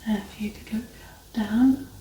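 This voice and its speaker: A woman speaking softly, often dropping into a whisper, gently giving instructions as a doctor in an ASMR medical exam roleplay. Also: fairly high pitch, fairly even intonation, unhurried. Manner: soft, partly whispered, gently instructing, reassuring. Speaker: performer playing a doctor giving an eye exam. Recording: roomy, distant mic, faint noise.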